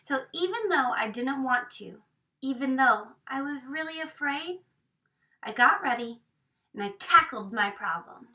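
High-voiced woman reading a picture book. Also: animated, a little slow, bright, forceful tone. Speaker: storyteller reading aloud. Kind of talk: expressive picture-book read-aloud. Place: small indoor room with bookshelves. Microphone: camera a few metres away. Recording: distant microphone in a room.

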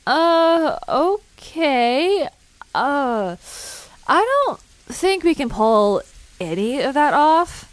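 A woman's voice: sits high, big pitch swings, drawn-out words, dry recording, full character voice. A woman playing an anxious, impatient but practical young adventurer in naturalistic audio drama, hesitant and exasperated. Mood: uneasy. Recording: music under the voice.

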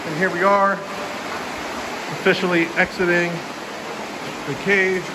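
Young male hiking vlogger, slightly high voice, loose rambling delivery, handheld in a cave, clear background hiss. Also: measured pace. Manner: relaxed, rambling, filler-heavy commentary. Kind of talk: casual vlog narration. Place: inside a cave. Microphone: handheld camera held by the speaker.

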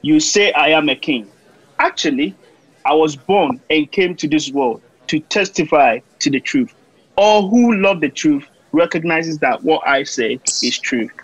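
An actor playing a calm, resolute holy man on trial in an audio play, measured and solemn. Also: fairly high pitch, slightly rough, no echo, muffled recording. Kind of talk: dramatic audio play acting.

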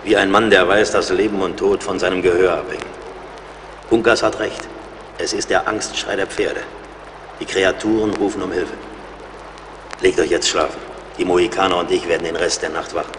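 A man's voice: sits low, steady tone, moderate pace, long pauses, lightly acted. A man playing a middle-aged, wry and watchful frontier scout in a German audio drama. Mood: grave, assured. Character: seasoned frontier scout, wry, plain-spoken, watchful, loyal. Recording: reverberant, noisy outdoor-like ambience.

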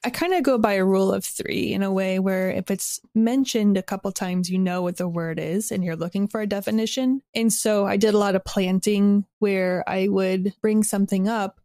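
A woman gives a casual interview in a mid-pitched voice. Her phrasing is informal and self-deprecating, full of small qualifiers. The sound is dry, with no room around it. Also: steady tone, moderate pace, hardly any pauses, warm tone, no echo. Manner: informal and self-deprecating, hedging with frequent "like" and "kind of". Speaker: interview guest, audio drama writer.

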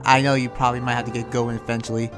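A man playing a quirky, friendly show-off demon slayer in an improvised game roleplay, talking in a rambling, halting, self-aware way. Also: medium pitch, little pitch movement, over music, natural voice. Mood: friendly, awkward. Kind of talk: improvised Minecraft anime roleplay.

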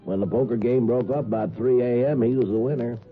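A man's voice: mid-range voice, fairly quick, close to an everyday voice. An actor playing a plain-spoken, folksy small-town policeman who narrates a 1950s radio drama. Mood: wry. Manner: folksy, colloquial. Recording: tinny 1950s radio recording, music behind.

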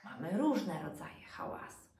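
Expressive, slow-paced woman addressing children. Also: deep voice. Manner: child-directed, expressive, asking rhetorical questions with dramatic pauses. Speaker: doctor and children's storyteller presenting health tips. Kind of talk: educational talk addressed to young children. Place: small furnished room indoors. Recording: roomy, distant mic with background noise.

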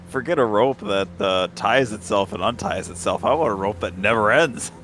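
A man's voice: lowish voice, normal speed, a bit bright, slight background noise. A man chatting casually and playfully as he streams a co-op game, joking along with the action. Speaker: gaming streamer.

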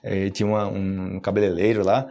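A man's voice: sits low, moderate pace, creaky, dull audio. A man in his thirties with a Brazilian Portuguese accent, giving a casual, playful language lesson to camera in a small home studio on a lapel mic. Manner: lively, explanatory, playful, hands waving. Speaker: Portuguese teacher.

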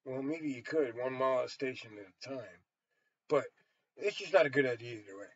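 A man's voice: mid-range voice, normal speed, slightly rough, dry recording. An American man in his sixties giving a blunt, emphatic, profanity-heavy rambling monologue to a camera in a small room. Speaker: storyteller and vlogger. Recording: dull, lo-fi webcam sound.

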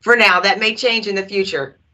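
Forceful, precise woman on a video call. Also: medium pitch, normal speed. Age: middle-aged. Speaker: guest panelist from transit agency. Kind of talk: answering public questions. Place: small office. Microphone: video call computer microphone. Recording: slightly dull video call audio.

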